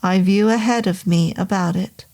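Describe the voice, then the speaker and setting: A woman's voice: medium pitch, moderate pace, warm tone, natural voice. A woman voicing a formal, polite middle-aged male innkeeper with a foreign accent and stiff phrasing. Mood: earnest.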